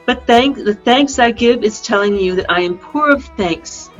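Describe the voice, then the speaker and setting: A woman reading a proud, sharp-tongued young princess in an amateur Shakespeare play, in measured formal verse, heard over a dull lo-fi video call. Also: on the high side, faint music behind, close to an everyday voice. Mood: coolly polite.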